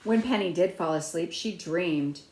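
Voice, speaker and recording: A woman's voice: lowish voice, normal speed, darkish tone, a little hiss or hum. Woman reading a storybook in a roomy space. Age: middle-aged. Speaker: storybook reader. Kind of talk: children's picture book read-aloud. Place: small room at home by a window, indoors. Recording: roomy, echoey indoor sound.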